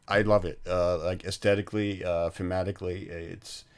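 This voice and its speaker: A man co-hosting a film podcast, casually recounting scenes in a halting, piecemeal way. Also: deep voice, little pitch movement. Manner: halting, piecing thoughts together in fragments.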